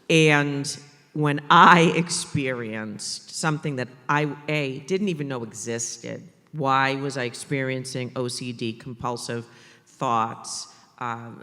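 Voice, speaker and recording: A man from the Boston area with a Boston accent, speaking on a conference panel about maternal mental health in an animated way, with joking asides and emphatic repetition. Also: medium pitch, long reverb tail. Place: conference stage in a large hall.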